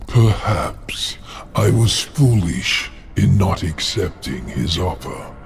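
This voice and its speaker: An actor playing a haughty, urbane demon lord in a video game, gravelly and measured with aristocratic dryness. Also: male voice, deep voice, expressive, soft background music, exaggerated voice. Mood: weary, composed. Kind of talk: video game character acting.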